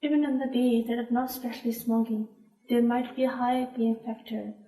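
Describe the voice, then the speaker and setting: A woman from Pakistan, a doctoral student, explains terms in a textbook-like manner. Her voice is fairly high and mellow. The room adds a clear echo. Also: little pitch movement, a little fast. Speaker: PhD student. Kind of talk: scripted explanation. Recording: echoey room.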